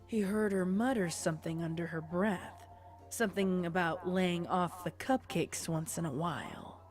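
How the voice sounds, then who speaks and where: A woman's voice: lowish voice, moderate pace, soft background music. A woman reading scripted fan fiction narration as the storyteller.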